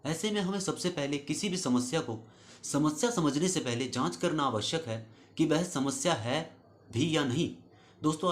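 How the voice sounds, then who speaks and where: A man's voice: mid-range voice, a little hiss or hum. A man telling a scripted moral story as a host, addressing listeners in an earnest, instructive way.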